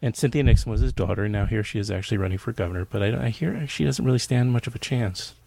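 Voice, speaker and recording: A man with a fairly low, creaky voice hosts a relaxed conversation. He muses haltingly and agreeably, recorded dry with very little room sound. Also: dry recording. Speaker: podcast host. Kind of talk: casual conversational interview.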